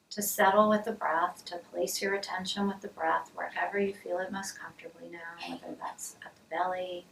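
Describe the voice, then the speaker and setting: A woman leading a guided body scan meditation as a mindfulness teacher, speaking softly and soothingly with pauses, often trailing into a breathy near-whisper. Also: on the low side. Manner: soft, soothing, measured with pauses. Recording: roomy, distant mic, hissy background noise.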